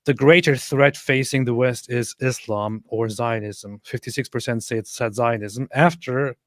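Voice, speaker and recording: A man's voice: mid-range voice, fairly even intonation, a little slow, no room sound, clean studio sound. A man co-hosting a casual online commentary, reading posts aloud and commenting on them with voiced emphasis. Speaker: co-host reading and commenting on social media posts.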